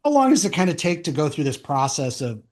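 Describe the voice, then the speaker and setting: A man's voice: a little high, sing-song, fast talker, darkish tone. A man hosting an interview podcast in a casual chat style, thinking aloud in loose, rambling sentences.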